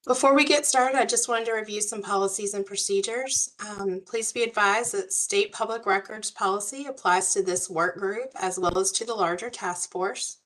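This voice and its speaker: A woman, hoarse from a cold, facilitating an online work group meeting in a friendly, procedural way. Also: medium pitch, moderate pace, few pauses, a bit bright, low-quality recording. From US South. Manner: friendly, procedural, uses y'all. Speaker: meeting facilitator, policy advisor. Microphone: video call.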